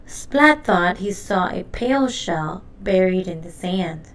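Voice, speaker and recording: A woman reading a children's storybook aloud in an expressive, deliberate narrating style. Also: on the low side. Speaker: storybook reader.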